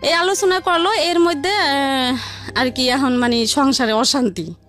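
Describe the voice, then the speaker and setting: A woman's voice: sits high, measured pace, fairly bright tone, soft background music. A woman telling her life story in a rambling, repetitive, conversational way. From Barisal region, Bangladesh. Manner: rambling, repetitive, colloquial narration.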